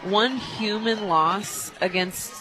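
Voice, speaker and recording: Candid female softball color commentator. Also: medium pitch, takes it slowly, low-quality recording. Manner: candid, opinionated, chatty. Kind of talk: conversational sports analysis. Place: stadium radio booth.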